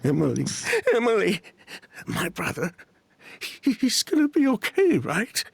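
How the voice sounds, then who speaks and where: A man voicing a grief-stricken young man in a video game, crying and desperate, with a husky, strained voice. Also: sits high, sing-song, unhurried, dry recording, exaggerated voice. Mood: distraught.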